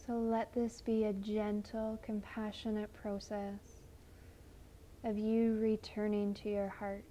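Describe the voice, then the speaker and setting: A woman leading a guided meditation in a gentle, soothing, unhurried manner with long pauses. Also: mid-range voice, monotone, slight background noise.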